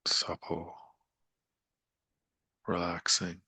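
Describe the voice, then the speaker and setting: A man guides a meditation in a slow, soothing manner, leaving long pauses between short phrases. His delivery is very even, with little melodic movement. The voice is husky and breathy yet crisp on the top end, gently coaxing the listener along. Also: low-pitched, no echo.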